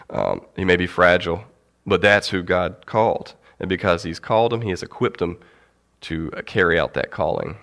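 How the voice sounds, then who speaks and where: A young man with a cold giving a casual, halting Bible teaching to his church, with fillers and light laughs. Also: low-pitched, a bit bright. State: has a cold.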